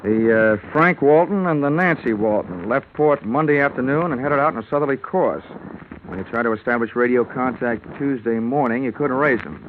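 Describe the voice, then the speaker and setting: A man's voice: medium pitch, moderate pace, a little hiss or hum, close to an everyday voice. A male actor with an American accent playing a cocky, wisecracking insurance investigator in an old radio detective drama. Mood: cool, needling. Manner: sardonic, wisecracking, clipped delivery. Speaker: lead actor and narrator. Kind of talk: 1940s-50s radio detective acting, scripted narration. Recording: tinny old-time radio recording with music.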